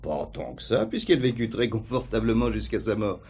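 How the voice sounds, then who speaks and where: An actor playing a formal, precise notary with a husky voice, recounting facts in a measured way in a crime drama. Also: male voice, fairly low pitch, sing-song, darkish tone, slight background noise. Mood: precise, discreet. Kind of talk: French crime drama acting.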